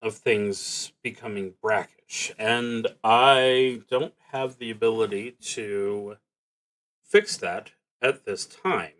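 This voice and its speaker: Slow, halting man thinking aloud. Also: on the low side, fairly bright tone. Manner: halting, deliberate, musing to himself. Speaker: host of a solo roleplaying livestream. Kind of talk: thinking aloud while playing a solo tabletop game.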